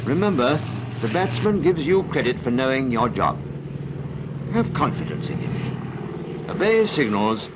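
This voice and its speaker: An actor narrating as a grave, admonishing naval voice in a 1940s dramatised training film, emphatic and didactic. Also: male voice, medium pitch, very animated, measured pace, long pauses, highs cut off. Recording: very noisy 1940s film soundtrack, engine din.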